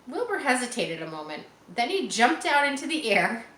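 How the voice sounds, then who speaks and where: A woman reading a children's story aloud expressively, pausing to explain things as if to schoolchildren. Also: on the high side, sing-song, a bit bright. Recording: roomy echo, faint background noise.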